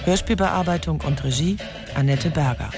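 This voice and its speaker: A man's voice: on the high side, normal speed. A man reading the scripted credits of a radio drama as an announcer. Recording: guitar music behind the voice.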